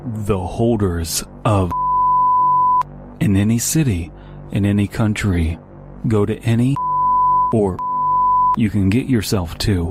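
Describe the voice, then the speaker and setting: A man reading a scripted horror story in a measured, solemn, deliberate way. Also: lowish voice. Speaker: story narrator. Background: loud music.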